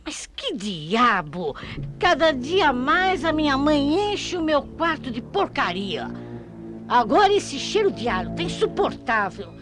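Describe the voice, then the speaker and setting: A performer playing a naive twelve-year-old boy in a radio mystery play, expressive and exclamatory. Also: sits high, slow, heavily acted. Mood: grumbling. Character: twelve-year-old boy, grumbling, eager, easily frightened. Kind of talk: radio mystery drama acting. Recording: AM radio drama with loud music behind.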